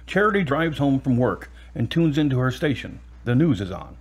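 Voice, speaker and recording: A man's voice: medium pitch, very animated, normal speed. A man narrating an audio drama in scripted, present-tense storytelling.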